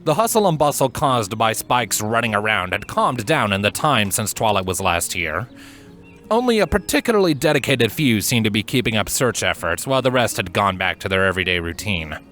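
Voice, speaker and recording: A man narrates fiction in a fairly low voice. His delivery is scripted, dramatic and measured, with expressive phrasing. Soft music plays behind him. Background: background music.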